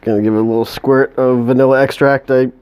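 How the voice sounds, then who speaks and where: A man with a New England accent talks through a recipe in his home kitchen, mumbling and circling back on his words. His voice sits at an ordinary middle pitch with a mellow, dark tone. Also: level tone, slight background noise. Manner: mumbling, halting, many restarts. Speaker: home cook demonstrating a recipe. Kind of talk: casual how-to demonstration.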